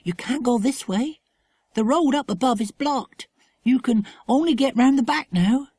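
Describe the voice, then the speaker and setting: A timid young village girl as a male narrator acts her. The voice is lifted high and sounds hesitant and fearful, as in a children's audiobook. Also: a little slow, darkish tone, slightly rough, no room sound, full character voice. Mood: warning. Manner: hesitant, warning.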